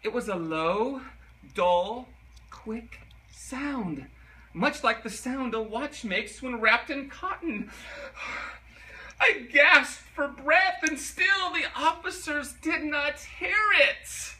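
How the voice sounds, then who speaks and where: A man's voice: very high-pitched, sharp tone, heavily acted. A man playing a guilty, nervous murderer coming undone, in a theatrical dramatic reading of a gothic horror story. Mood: growing unease. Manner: theatrical, deliberate, intensely emotional. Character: guilty, nervous murderer unraveling into frenzied madness. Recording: noisy, distant microphone.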